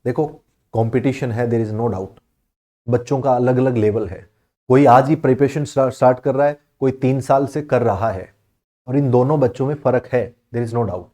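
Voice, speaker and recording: A man explaining history exam questions to students, reading the English text slowly and then explaining it in Hindi, with many check-ins for understanding. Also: medium pitch, warm tone. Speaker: exam coaching teacher.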